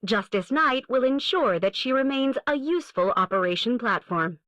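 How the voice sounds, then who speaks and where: A woman's voice: a little high, lively intonation, moderate pace, bright, forceful tone, no echo. A woman voicing a dutiful, formal robot knight in scripted video game lines.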